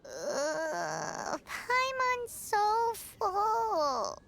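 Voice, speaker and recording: A woman voicing a tiny, cheeky, childlike fairy companion with a squeaky voice in an anime game. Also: wildly swooping pitch, extremely slow, vocal fry, over loud music, heavily acted. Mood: stuffed, groaning. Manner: speaks of herself in third person.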